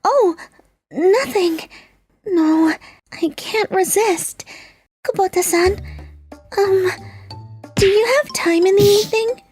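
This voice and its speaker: A woman voicing a shy, lonely young married neighbor in a manga dub, speaking softly and hesitantly. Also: extremely high pitch, takes it slowly, pauses often, no room sound. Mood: pleading. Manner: soft, pleading, hesitant. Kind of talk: anime-style manga dub acting. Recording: faint background music.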